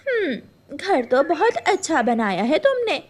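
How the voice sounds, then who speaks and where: A woman's voice: high-pitched, very animated, a little slow. A woman voicing a sly, demanding female crow in a children's cartoon. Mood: satisfied. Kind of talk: Hindi children's cartoon voice acting.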